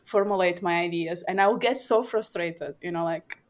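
A woman's voice: lowish voice, moderate pace. A woman from Portugal whose first language is Portuguese, hosting a podcast in a candid, self-deprecating way. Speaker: podcast host. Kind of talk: casual chat.

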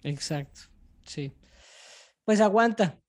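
A man's voice: high voice, expressive, slow, darkish tone. A man with a Colombian accent hosting a podcast in a casual, informal review chat, phrasing things a little hesitantly. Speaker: podcast host.

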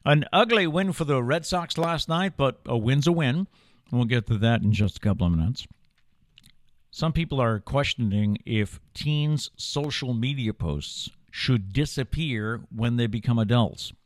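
A man's voice: medium pitch, a little slow, dry recording, clean studio sound. A man in his fifties with an American accent, hosting a morning show with news and casual talk. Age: 50s.

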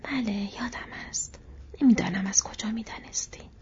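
A woman voicing a gentle, weary male hobbit in an audiobook, cautious and thoughtful in a whispery, breathy voice. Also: medium pitch, close to an everyday voice. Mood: subdued. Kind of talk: audiobook character voice. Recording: dull, muffled lo-fi audio.

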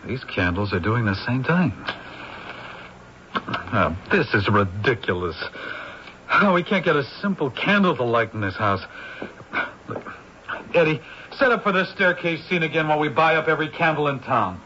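An actor playing a harried male film director, brisk and commanding, in an old radio drama recording. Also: medium pitch, animated, bright, forceful tone. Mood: exasperated. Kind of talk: 1970s radio drama acting. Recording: dull old radio broadcast with faint hiss.

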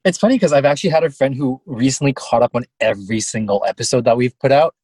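A man's voice: medium pitch, quick pace, darkish tone, slightly rough, no room sound. A young man co-hosting a podcast in casual chat, sassy and teasing. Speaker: podcast co-host.

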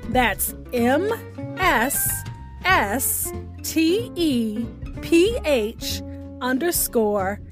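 A woman podcast host reading a scripted sign-off in deliberate, measured phrases with emphatic words. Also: high-pitched, lively intonation. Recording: music playing behind the voice.

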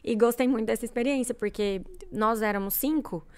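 A woman from Brazil in her twenties, telling her stories in a lively, animated way as an interview guest. Her voice sits a bit on the high side, and she slips into the voices of the people she quotes. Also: brisk, smooth. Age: 20s. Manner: animated, acts out quoted dialogue. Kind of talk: casual storytelling chat.

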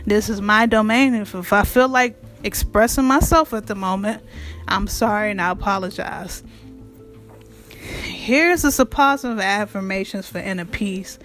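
A woman with a raspy voice and speech, hosting her own show in a blunt, frank monologue that swears freely. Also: mid-range voice, unhurried, a bit bright, faint music behind. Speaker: podcast host. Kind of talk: casual monologue.

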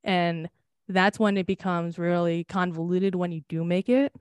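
A woman's voice: lowish voice, fairly even intonation, normal speed, darkish tone, clear, resonant tone, no room sound. Candid young woman, dry close sound. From United States. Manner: candid and rambling, swearing and laughing often. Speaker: podcast co-host. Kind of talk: casual chat.

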